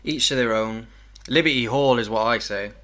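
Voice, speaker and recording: Man as blunt 1930s sceptic, bright forceful voice. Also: medium pitch, moderate pace, natural voice. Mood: suspicious. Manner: blunt, incredulous. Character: sceptical, blunt 1939 BBC television man. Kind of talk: fan-made period audio drama acting.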